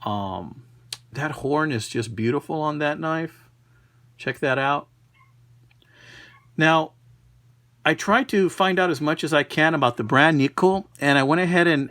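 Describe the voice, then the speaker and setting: A man's voice: medium pitch, fairly bright tone, dry recording. A man talking casually and unhurriedly, like a collector presenting an item in a homemade video. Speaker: knife collector presenting a find. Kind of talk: casual product showcase.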